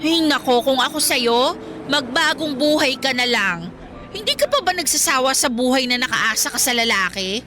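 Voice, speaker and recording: A moralizing young Filipino friend in a radio drama. Her high, bright voice chides earnestly. Some faint ambient noise sits behind her. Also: expressive, normal speed. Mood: chiding, exasperated. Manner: chiding, earnest. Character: moralizing, concerned young woman, the best friend.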